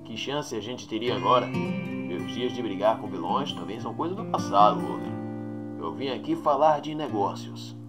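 A cocky old adventurer, played by a man in a comic-book dub, talking with a Brazilian accent. His delivery is breezy and persuasive, with animated pitch over prominent music. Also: moderate pace, close to an everyday voice. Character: cocky old blind male ex-hero, eager talker. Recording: music under the voice.

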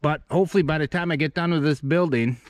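A man's voice: fairly high pitch, fast, no echo. A man, chatting casually in a folksy, self-deprecating, rambling way as he shows his building work. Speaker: homesteader vlogging his barn build. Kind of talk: casual walk-through chat. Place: outdoors at a rural building site and inside the wooden building.

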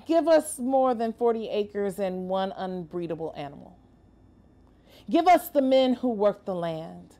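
A woman performing dramatic spoken-word poetry with deliberate, emphatic, measured phrasing. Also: a little high, a little mellow. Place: inside an empty streetcar.

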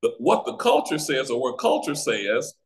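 An American man teaching a Bible study as a pastor, with deliberate, emphatic phrasing and pauses, over a video call. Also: mid-range voice, very animated. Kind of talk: Bible teaching with scripture reading. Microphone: video call microphone.

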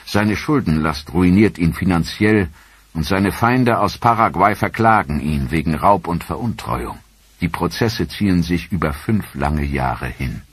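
A man giving measured, earnest scripted narration for a history documentary. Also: deep voice, animated, husky, dry recording. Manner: measured, earnest storytelling delivery.

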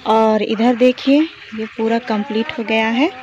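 Young woman vlogger from Jharkhand, slow and chatty, tired from chores, dull phone audio. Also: a little high, fairly even intonation. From Jharkhand, India. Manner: chatty, showing chores while walking and working. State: tired from a day of physical work. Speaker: village vlogger. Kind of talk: casual vlog narration to camera. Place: outdoors in a village yard. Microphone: phone camera at arm's length. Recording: dull lo-fi phone audio with clear outdoor noise.

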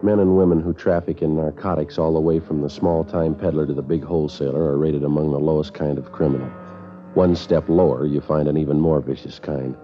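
An American actor playing a terse, deadpan male police sergeant in a 1950s radio drama, clipped and matter-of-fact. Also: rumbling low. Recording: dull vintage radio recording with music.

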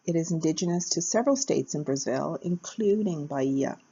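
Low-voiced woman, halting fillers. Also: expressive, mellow, husky, muffled recording. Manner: hesitant, many uh fillers, plain. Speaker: capoeira teacher. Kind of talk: casual educational narration.

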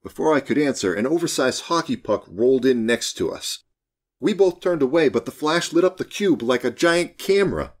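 A man narrating a short story as an audiobook, in a wry, expressive storytelling manner. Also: medium pitch.

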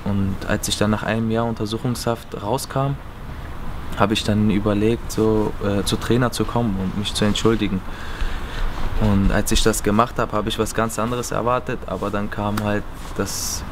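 A man's voice: fairly low pitch, level tone, moderate pace. A young man from Berlin answering interview questions earnestly, seated in an empty stadium. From Berlin, Germany. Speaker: footballer. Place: empty stadium stands, outdoors. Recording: noisy outdoor stadium recording.

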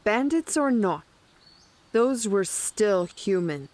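A woman playing a hostile, stern townswoman in a fantasy roleplay audio drama, deliberate and accusatory. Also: mid-range voice, expressive, darkish tone. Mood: stern accusation.

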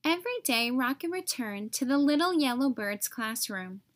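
A woman reading a children's story aloud in an expressive, carefully phrased way for young listeners. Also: sits high, normal speed.

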